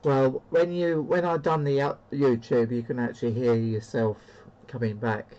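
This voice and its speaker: A man's voice: medium pitch, little pitch movement, normal speed, warm tone, dull audio, a little hiss or hum. A man chatting casually as he demonstrates a CB radio. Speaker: CB radio operator demonstrating a rig. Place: indoor room with stacked radio equipment. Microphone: handheld CB radio microphone.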